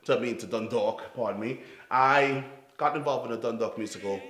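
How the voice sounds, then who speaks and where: A man's voice: medium pitch, fairly bright tone. Earnest Trinidad-born man in an echoey room. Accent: Trinidadian with New York influence. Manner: earnest, halting, with repeated restarts. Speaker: youth theatre founder and director. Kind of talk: reflective personal storytelling to camera.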